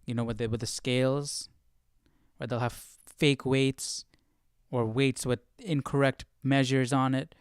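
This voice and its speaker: A man's voice: mid-range voice, little pitch movement, a little slow, clean tone, no room sound, clean studio sound. A man hosting a live study session, explaining passages casually and often checking for agreement with short tag questions. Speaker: Bible study livestream host. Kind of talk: casual live commentary on verses.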